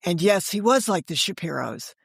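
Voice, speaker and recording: Older female interview guest, lowish voice, dry sound, self-correcting raconteur. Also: very animated, moderate pace, creaky, husky, dry recording. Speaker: guest, author and editor. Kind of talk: conversational interview storytelling.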